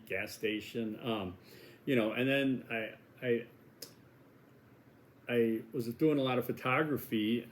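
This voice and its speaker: A man's voice: medium pitch, a little mellow. A man in his sixties from Milwaukee with a Wisconsin accent, a college instructor chatting casually in a course welcome video, rambling and joking at his own expense. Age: 60s. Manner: rambling, chatty, self-deprecating jokes.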